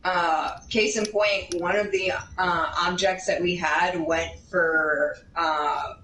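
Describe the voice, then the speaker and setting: Woman, casual storyteller, animated, rambling, acts out quotes, echoey room, audible background noise. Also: on the low side, slow. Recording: roomy, distant mic, steady background noise.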